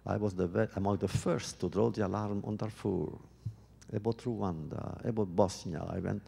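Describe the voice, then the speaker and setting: Low gravelly guy, kinda husky, thinks out loud w/ lots of restarts, big hall echo. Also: steady tone. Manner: halting, thoughtful, frequent restarts. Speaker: guest speaker answering audience questions. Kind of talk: public talk with Q&A.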